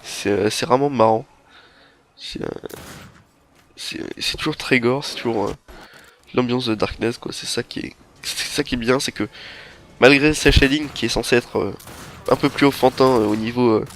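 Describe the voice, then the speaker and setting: A young man with a stuffy nose from a cold, giving rambling, halting gameplay commentary into a headset mic. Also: mid-range voice, fairly bright tone, a little hiss or hum. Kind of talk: game walkthrough commentary. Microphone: headset microphone.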